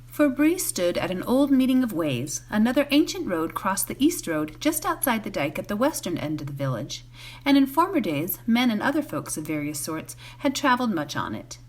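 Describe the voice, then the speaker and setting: Female volunteer storyteller, mid-pitched and expressive, with lively melody for bedtime novel narration. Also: normal speed.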